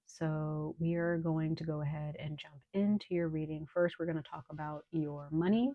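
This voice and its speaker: A woman with a low, slightly hoarse voice, under the weather with a cold, reads tarot for her viewers. She talks in an unhurried, reassuring way and fills pauses with ums. The sound is dry and close, with no room echo. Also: fairly even intonation, dull audio. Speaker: tarot reader. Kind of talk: casual tarot reading to viewers.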